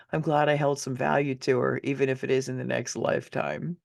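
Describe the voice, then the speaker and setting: A woman's voice: very deep voice, flat delivery, moderate pace, vocal fry, a little mellow. A middle-aged woman reads her own memoir as a conversational audiobook, in a candid, wry way with dramatic emphasis. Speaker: author reading own memoir.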